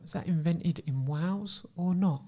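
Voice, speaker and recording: A man's voice: a little high, normal speed, warm tone. A man hosting a podcast, running a playful quiz game in a casual, upbeat way. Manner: upbeat, laughing, repeats words. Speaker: podcast host.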